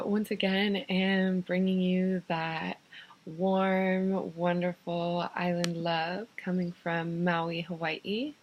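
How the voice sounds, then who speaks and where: A woman leading a guided meditation in an unhurried, soothing manner, giving gentle improvised instructions in short phrases with pauses. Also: lowish voice, rough recording. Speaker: guided meditation teacher and host.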